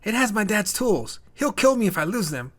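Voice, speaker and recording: A man voicing a bossy, bold young girl in a children's story, with a loud, commanding manner. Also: high-pitched, sing-song, normal speed, voice pushed up.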